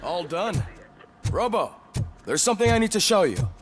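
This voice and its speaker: Lively chummy police tech guy. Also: sits high, normal speed, music in the background. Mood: chummy, explaining. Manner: informal, explanatory. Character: chummy male police weapons technician, eager explainer. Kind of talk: video game voice acting.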